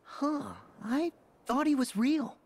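A man's voice: very high-pitched, slow. A man playing an earnest, eager, friendly teenage boy hero in a game, boyish, curious and sincere. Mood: puzzled. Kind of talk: video game cutscene acting.

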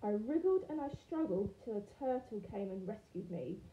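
A woman's voice: medium pitch, expressive, normal speed, lo-fi sound, a little hiss or hum, close to an everyday voice. A woman voicing a young boy fish from a storybook who earnestly makes excuses with tall tales. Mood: apologetic, excited. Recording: roomy indoor echo.

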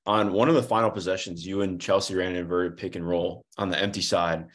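Male sports reporter, twenties, deep and brisk, casual questioning on a video call. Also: fairly even intonation. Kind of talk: casual press conference questioning. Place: room at home, indoors.

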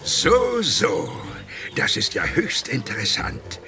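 An actor playing a scheming, gleeful robber chief in a children's audio drama, muttering slyly and gloatingly. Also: male voice, mid-range voice, wildly swooping pitch, vocal fry, sharp tone, soft background music, full character voice. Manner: gloating, drawn-out muttering with sly laughs.

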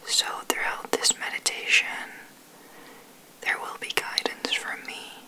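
A woman guiding an ASMR sleep meditation in a breathy whisper, soothing and gentle, unhurried with long pauses. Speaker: meditation guide, ASMR host.

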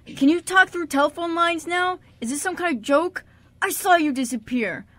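High-voiced, emotional young man played by a woman. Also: sharp tone, no echo. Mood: angry disbelief. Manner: halting, intense. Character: emotional, devoted young man, grieving friend. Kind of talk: amateur radio drama acting.

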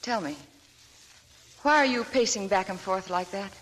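An actress playing a weary, guarded young woman from Maine in a 1940s radio play, speaking softly and wistfully. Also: mid-range voice, moderate pace, a little hiss or hum, close to an everyday voice. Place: radio studio.

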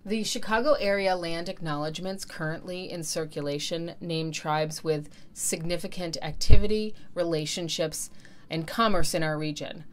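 A woman's voice: lowish voice, fairly even intonation, normal speed. A woman giving a scripted, formal talk as a speaker in a service. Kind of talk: scripted land acknowledgement talk.

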